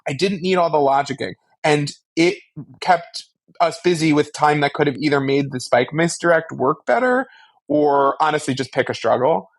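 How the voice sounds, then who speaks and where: Male co-host, fairly high voice, casual and opinionated fan talk with wry humour, dry close sound. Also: animated, moderate pace, no echo. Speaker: podcast co-host.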